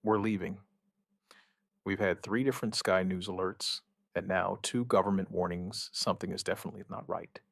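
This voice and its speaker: A man acting as a steady, protective survivor looks back on the first days of an outbreak. His voice is low and gravelly, recorded close and dry. He narrates in measured, plain sentences with quiet understatement, in the manner of an apocalyptic audio drama. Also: no echo. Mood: firm, reassuring. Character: male outbreak survivor recounting events, steady and protective partner.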